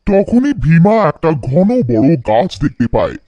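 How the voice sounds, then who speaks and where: A man's voice: on the high side, big pitch swings, a little slow, warm tone, no room sound, dull audio. A man narrating a scripted cartoon folktale for children.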